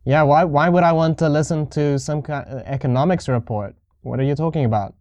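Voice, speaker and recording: A man's voice: on the high side, fairly quick, darkish tone, no echo, lo-fi sound. A man co-hosting a podcast, chatting casually about movies in a loose, bantering style. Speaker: podcast co-host.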